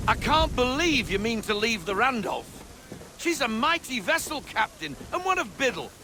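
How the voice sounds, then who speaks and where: An actor playing a hearty, irreverent old ship's mate in a video game, jaunty and wry. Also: male voice, very high voice, big pitch swings, normal speed, background noise. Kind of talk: game cutscene dialogue. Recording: loud music over the voice.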